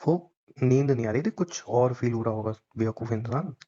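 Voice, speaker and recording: A man's voice: mid-range voice, moderate pace, mellow. Young man teasing on a dull phone line. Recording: dull lo-fi phone call recording.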